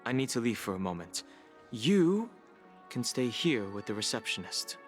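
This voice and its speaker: An actor playing a serious, reserved young man in a video game, calm and measured. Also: medium pitch, faint music behind, lightly acted. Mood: concerned.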